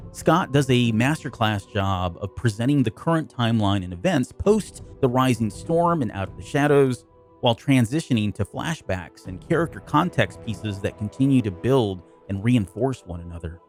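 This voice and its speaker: A man delivers a scripted review with polished phrasing. His mid-pitched voice moves up and down in a lively way, and faint music plays behind him. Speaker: podcast host and reviewer.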